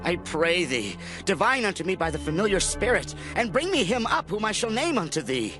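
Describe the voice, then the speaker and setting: A man's voice: high voice, big pitch swings, fairly quick. A man playing a distressed king in a scripture drama, pleading solemnly and emphatically in archaic English. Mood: urgent, pleading. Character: desperate, distressed king of Israel pleading for guidance. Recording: music under the voice.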